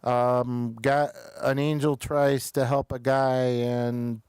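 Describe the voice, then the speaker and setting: A man hosting a show, chatting casually through episode reviews in a halting way, pausing often mid-thought. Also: mid-range voice, flat delivery, darkish tone, clear, resonant tone, no room sound, clean studio sound.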